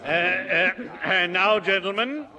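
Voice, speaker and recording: A man's voice: high voice, slow, over music. An American man acting a genial, pompous toastmaster in a 1950s radio comedy, formal and hesitant. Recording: crowd noise, old radio recording.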